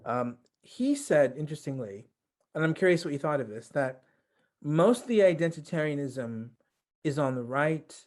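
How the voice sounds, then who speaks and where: A man's voice: a little high, lively intonation, normal speed, a little mellow. A man interviewing as a podcast host, thoughtfully building up long questions in a conversational way.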